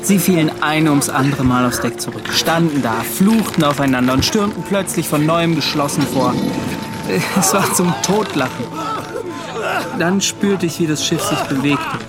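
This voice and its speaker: An actor in a radio play voicing a bitter, shaken young seaman, giving his account in halting, broken phrases. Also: on the high side, animated, lightly acted. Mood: bitter, brooding. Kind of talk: radio play acting, first-person account.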